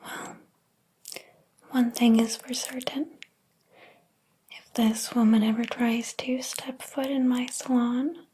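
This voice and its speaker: A woman playing an obsessed, jealous hairdresser in an ASMR roleplay, whispering with slow, quiet menace. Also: a little high, little pitch movement, husky. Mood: possessive, quietly menacing.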